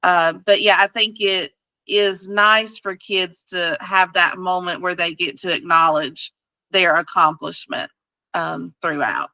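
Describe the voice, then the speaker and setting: Bright-voiced woman giving parent advice. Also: lowish voice, fairly even intonation, unhurried, no room sound. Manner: easygoing, many fillers. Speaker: tutor.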